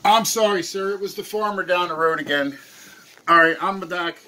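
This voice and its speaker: A man in his forties playing dumb on a phone call, talking loudly and earnestly from a small room at home. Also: high-pitched, moderate pace, a little hiss or hum. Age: 40s. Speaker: prank caller baiting a phone scammer. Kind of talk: deadpan prank phone call. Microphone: camera on a table, phone held to ear.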